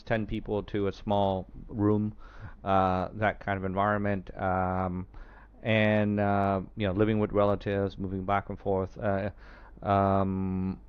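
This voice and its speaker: A man's voice: lowish voice, dry recording, lo-fi sound. A man in his fifties from Vietnam with an American accent, a podcast guest recalling his past in a hesitant way, full of fillers and restarts. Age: 50s. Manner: hesitant, many fillers and restarts, light laughs. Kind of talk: reflective storytelling interview.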